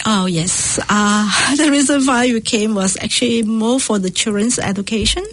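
A woman's voice: a little high, moderate pace, fairly bright tone. A middle-aged woman from Malaysia whose first language is not English, answering interview questions hesitantly with many fillers and restarts. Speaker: guest. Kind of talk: casual radio interview.

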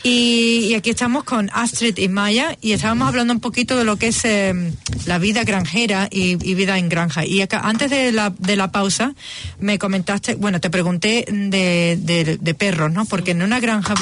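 A man hosting a relaxed radio interview, talking in a halting way with frequent restarts and hesitations. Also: high-pitched, slight background noise. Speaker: radio host.

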